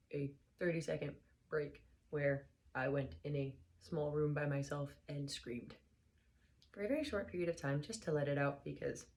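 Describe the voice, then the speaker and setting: A young woman speaking in a very low, gravelly voice that has gone hoarse and worn. She sounds tired and talks in a soft, unhurried way as she chats and reads aloud. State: hoarse, slightly lost voice. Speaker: book reader vlogger. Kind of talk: casual chat then reading aloud.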